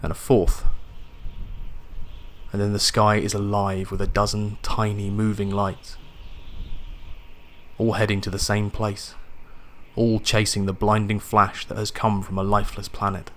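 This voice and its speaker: Low-pitched man narrating dramatic sci-fi horror. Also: completely flat delivery, measured pace, long pauses. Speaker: audiobook narrator. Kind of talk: scripted first-person sci-fi horror narration. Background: atmospheric music and sound effects.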